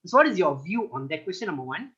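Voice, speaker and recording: A man's voice: high voice, sing-song, quick pace, bright, forceful tone, husky. Eager young man from Malaysia, muffled call. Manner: polite, eager, repeats affirmations. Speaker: audience member asking questions. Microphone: video call. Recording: muffled video call audio.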